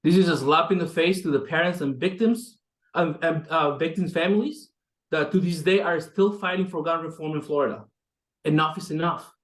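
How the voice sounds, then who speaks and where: A man's voice: on the high side, roomy sound, rough recording. A man in his thirties, an advocacy director, giving an impassioned statement on a virtual press call. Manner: impassioned, emphatic speech with fillers.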